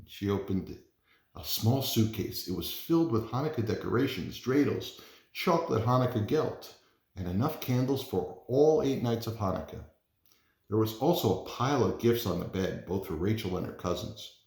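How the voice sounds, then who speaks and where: Roomy-sounding man with a lively reading lilt. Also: lowish voice, normal speed. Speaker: parent volunteer reading a storybook aloud. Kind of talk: introducing and narrating a children's picture book. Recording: roomy, echoey indoor sound.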